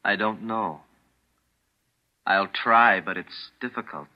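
An actor playing a mournful, evasive son-in-law in a 1940s radio drama, speaking in a hushed, grave way. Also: male voice, lowish voice, takes it slowly. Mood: somber. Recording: dull lo-fi radio sound, off-mic.

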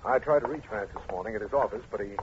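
An actor playing a formal, emphatic American district attorney who is easily exasperated, in 1950s radio drama style. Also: male voice, lowish voice, rapid-fire, close to an everyday voice. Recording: muffled old radio, faint noise.

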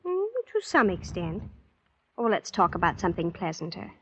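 A woman's voice: lowish voice, very animated, moderate pace, fairly bright tone, a little hiss or hum. An actress playing a naive, spoiled young heiress in a vintage radio drama, with a girlish, airy, petulant manner. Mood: coyly dreamy. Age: young adult. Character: naive, breezily callous, romantic young rich heiress. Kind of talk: 1940s-50s radio drama acting. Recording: dull, dry vintage radio recording.

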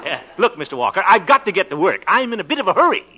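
A man's voice: high-pitched, sing-song, quick pace, pushed and bright, full character voice. An actor playing a blustery, sarcastic small-town man in a 1950s radio sitcom, theatrical and easily exasperated. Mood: impatient. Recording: noisy, muffled 1950s radio recording.

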